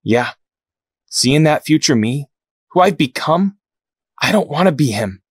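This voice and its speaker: A man's voice: on the high side, animated, measured pace, slightly rough, no echo, studio quality. A man acting in an audio drama as a sincere, remorseful young team fighter, speaking earnestly.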